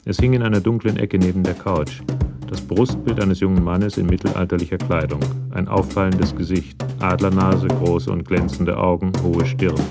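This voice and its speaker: A man narrating a radio crime drama from a script in a measured, matter-of-fact way. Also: sits low, fairly even intonation, creaky. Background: music with drums.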